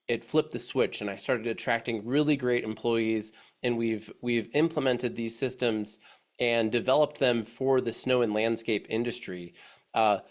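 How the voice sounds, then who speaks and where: Congested man giving a chatty webinar. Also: mid-range voice. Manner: friendly, chatty, many restarts and fillers. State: congested from allergies. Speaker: webinar presenter, agency head. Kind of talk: casual, rambling webinar presentation.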